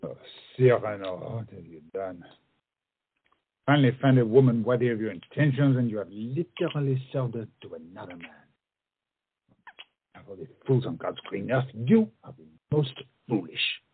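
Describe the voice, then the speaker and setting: Man acting husky, theatrical lovelorn soldier-poet. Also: mid-range voice, lively intonation, normal speed. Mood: rueful. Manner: theatrical, swinging from hushed prompting to exclamation. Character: witty, lovelorn male soldier-poet Cyrano, exasperated secret prompter. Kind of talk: comic period stage play acting. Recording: muffled, dull stage play recording.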